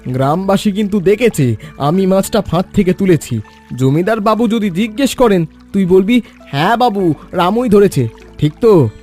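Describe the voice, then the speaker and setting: A man playing a greedy, lazy, deceitful villager in an animated children's story, pushy, boastful and impatient. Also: sits high, moderate pace, faint music behind. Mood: smug, bossy.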